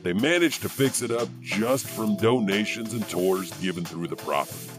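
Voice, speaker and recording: Guy as a weird awkward janitor horror host, deep voice, faint music behind. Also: animated, close to an everyday voice. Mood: chatty. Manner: halting, rambling, chuckling asides. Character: eccentric, awkward, creepy male janitor storyteller. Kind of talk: comic horror anthology hosting.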